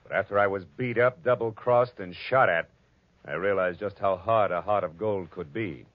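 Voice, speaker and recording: An actor playing a tough, wisecracking American private detective in 1940s radio style. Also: male voice, deep voice, moderate pace, lightly acted. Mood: wry, cynical. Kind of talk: 1940s radio detective acting, hardboiled narration. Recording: dull vintage radio recording, music behind.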